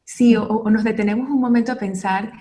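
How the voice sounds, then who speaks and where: A woman's voice: on the high side, steady tone, warm tone. Earnest reflective Venezuelan-born woman. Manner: thoughtful, earnest, pauses to reflect. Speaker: guest speaker. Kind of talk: reflective interview talk.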